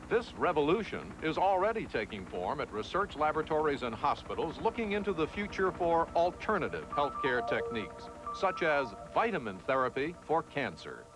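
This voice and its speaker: A man narrates a television news documentary in a fairly high, very animated voice. His delivery is measured and emphatic, with prominent music underneath. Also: poor audio. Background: background music.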